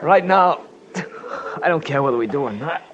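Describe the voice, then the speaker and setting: A man's voice: a little high, lively intonation, moderate pace, lightly acted. A man playing an exhausted, complaining climber in an old-time radio adventure, out of breath and worn out. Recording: dull, very noisy old radio recording.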